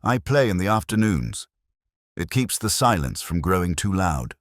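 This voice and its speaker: A man voicing a formal, restrained grieving widow in a mystery story, speaking calmly and carefully. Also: sits low, normal speed, no echo, studio quality. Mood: calm, guarded.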